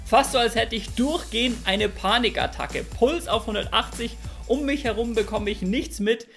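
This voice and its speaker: A man's voice: very high voice, moderate pace, gravelly, a bit bright, faint music behind. A young man talking straight to viewers about his stand-up attempts, recorded close up in a small room at home, upbeat and direct. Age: young adult. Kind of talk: scripted vlog narration.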